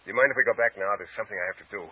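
A man's voice: on the low side, very fast, slightly rough. An actor playing a guarded, scheming man living under a false identity, terse and hesitant, in 1940s radio drama style. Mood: tender, preoccupied. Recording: muffled, lo-fi old radio recording.

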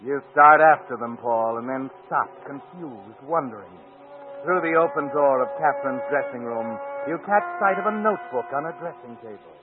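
A man's voice: mid-range voice, expressive, normal speed, music in the background, natural voice. A man narrating an old-time radio suspense drama as a knowing, insistent, foreboding voice, on a tinny old recording. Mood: suspenseful. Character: knowing, ominous male narrator addressing the hero.

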